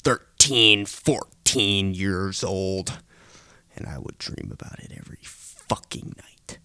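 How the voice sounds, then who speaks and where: A man's voice: low voice, slow pace, bright, forceful tone, smooth, no room sound. A young man delivering a seething, hateful rant, often dropping into a hissed whisper.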